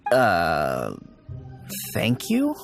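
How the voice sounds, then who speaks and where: A man's voice: sits low, wildly swooping pitch, very slow, vocal fry, full character voice. A man playing an earnest, melancholy young funeral parlor worker in a video game, mild and sincere. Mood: taken aback. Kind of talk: video game character dialogue. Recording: game music behind the voice.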